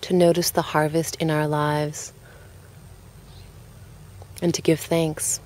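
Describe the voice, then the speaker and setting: A woman narrating a guided relaxation in a calm, gentle and unhurried way, leaving pauses between phrases. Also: low-pitched.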